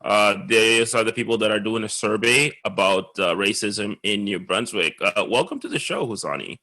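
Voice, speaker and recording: A man's voice: fairly low pitch, moderate pace, bright, forceful tone. A man hosting a casual podcast, introducing his guest and asking questions loosely with repeated words. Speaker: podcast host.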